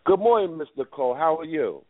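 A man's voice: a little high, animated, brisk, dry recording. A man hosting a call-in radio show, rambling casually with lots of fillers, fighting a cold. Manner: rambling, thinks aloud with many fillers. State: battling a cold. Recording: tinny phone line, dry.

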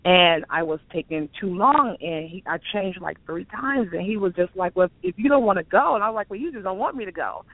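A woman's voice: medium pitch, animated, fairly quick, few pauses, dry recording. A woman in her late forties co-hosting a casual chat, telling stories and acting out who said what. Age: 40s. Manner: storytelling, acts out quoted dialogue. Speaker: co-host. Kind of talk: casual chat on internet radio. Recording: dry tinny phone line.

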